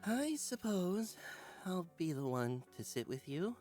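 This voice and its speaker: A man's voice: high voice, big pitch swings, a little slow, a little mellow. A man voicing a patient, dutiful schoolteacher in a visual novel, with music behind him. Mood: concerned. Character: patient, dutiful male schoolteacher on field trip.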